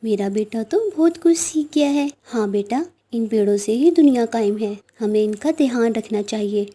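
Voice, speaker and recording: A woman voices a caring mother sparrow for a children's cartoon. The bird is gentle and affectionate, with a high, mellow and soft-spoken delivery. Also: expressive, moderate pace, husky. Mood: warm. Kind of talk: children's cartoon story acting.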